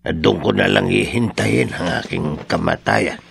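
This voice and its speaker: Gravelly weary old man. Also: deep voice, normal speed, fairly bright tone, heavily acted. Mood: weary resignation. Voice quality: gravelly, husky. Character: weary old male cemetery cleaner, fearful. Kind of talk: radio drama acting.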